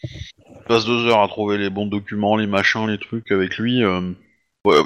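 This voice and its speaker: A man with a fairly low voice narrating a tabletop roleplaying game as its game master. He speaks casually, dropping plenty of "euh" and some slang. He often stops to restart his sentences. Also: fairly even intonation, normal speed, fairly bright tone, smooth, lo-fi sound. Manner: hesitant, many fillers, casual slang.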